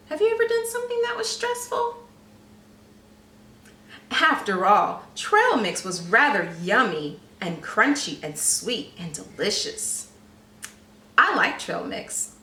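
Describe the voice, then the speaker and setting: Animated, unhurried woman reading a children's story. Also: a little high. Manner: deliberate, dramatic phrasing. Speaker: read-aloud storyteller for young children. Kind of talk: expressive children's story narration with questions to listeners. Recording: echoey room, distant mic, faint noise.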